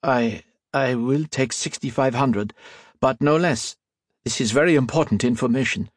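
A man's voice: mid-range voice, normal speed, breathy edge, no room sound, clean studio sound, voice pushed up, close to an everyday voice. A man voicing an anxious male priest archivist with a nasal tone and a light French accent. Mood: nervous bargaining. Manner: pleading, nervous.

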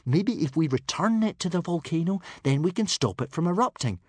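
A man's voice: a little high, expressive, fairly quick, a little mellow, slightly rough, no echo, voice pushed up. A man voicing an earnest, anxious young Viking boy hero in a children's audiobook. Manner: pleading, earnest.

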